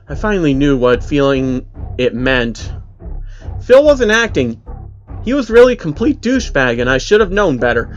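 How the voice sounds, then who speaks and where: A man's voice: mid-range voice, muffled recording. A man narrating as host of a dramatic creepypasta reading, telling a first-person story to listeners. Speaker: narrator, channel host reading fan stories.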